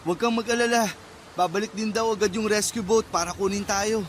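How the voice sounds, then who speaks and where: A gentle young man with a very high voice, protective but unsure of himself, acted by a man for Filipino radio drama. He sounds earnest and reassuring, with faint ambience around him. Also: steady tone, fairly quick, a little hiss or hum, close to an everyday voice. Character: gentle, protective, self-doubting young man trapped by flood.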